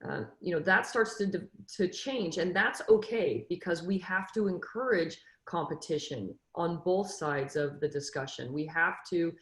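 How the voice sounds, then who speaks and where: A woman's voice: on the low side, echoey room. A woman in her fifties from Northern California, a football coach speaking on a video call panel in an earnest, motivational way, often restarting her sentences. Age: 50s. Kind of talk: casual panel discussion.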